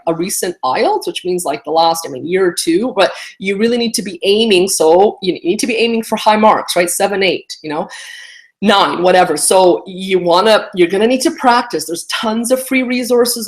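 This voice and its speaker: A woman whose first language is Romanian, a career coach and lawyer giving blunt, casual advice, full of fillers and tag questions. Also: lowish voice. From born in Romania, raised in Canada. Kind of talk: casual talking-head advice video.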